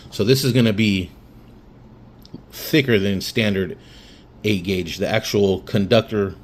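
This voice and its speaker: A man giving a casual hands-on explanation in a plainspoken, matter-of-fact way, recorded on a phone. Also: fairly low pitch. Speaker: hobbyist demonstrating radio wiring. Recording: phone recording with background noise.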